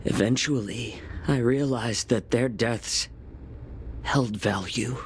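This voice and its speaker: An actor playing an embittered, grieving but resolute fox healer in a video game, with deliberate dramatic pauses. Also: male voice, mid-range voice, a little hiss or hum. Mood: grim resolve.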